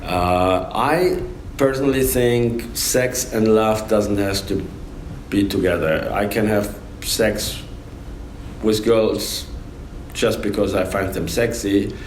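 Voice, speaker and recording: Casting: middle-aged male interviewer, unhurried and halting, lowish voice, roomy recording. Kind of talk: casual interview. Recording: echoey room with background noise.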